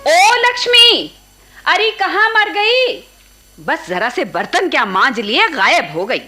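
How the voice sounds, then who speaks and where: A woman playing a sharp-tongued, scolding middle-aged housewife and aunt in a radio play. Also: very high-pitched, big pitch swings, takes it slowly, full character voice. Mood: shouting impatiently. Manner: scolding, complaining, sarcastic. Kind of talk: Hindi radio play acting.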